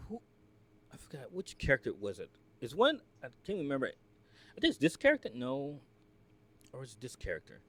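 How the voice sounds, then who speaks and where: A man's voice: mid-range voice, wildly swooping pitch, moderate pace, long pauses, a bit bright. A man from the United States hosting a reaction video, talking casually in a rambling, filler-heavy, chatty way.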